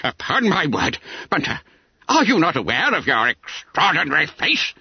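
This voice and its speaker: A man's voice: a little high, wildly swooping pitch, moderate pace, creaky, slightly rough, no room sound, lo-fi sound, pitched above the performer's own voice, heavily acted. A man voicing a stern, outraged schoolmaster who scolds imperiously in a story reading. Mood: astonished scolding.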